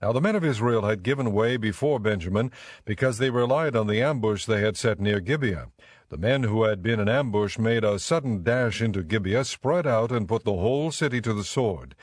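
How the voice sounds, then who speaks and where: A man's voice: on the low side, lively intonation, fairly quick, no room sound. A man reading scripted dramatic Bible narration as the narrator.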